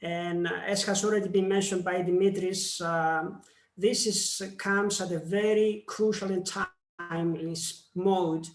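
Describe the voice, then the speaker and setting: Slow, measured Greek-accented man, echoey room. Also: high-pitched, fairly even intonation. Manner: slow, measured delivery with pauses. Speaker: member of parliament giving a talk. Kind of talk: formal seminar speech.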